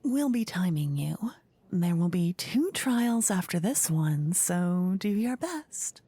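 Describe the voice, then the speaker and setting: A woman voicing a flippant, gleeful queen in a soft-spoken fantasy ASMR roleplay, playful and condescending in a theatrical way. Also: on the low side, sing-song, slow pace, slightly rough, no echo, exaggerated voice. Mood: playful, commanding. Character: gleeful, flippant queen presiding over her fantasy court.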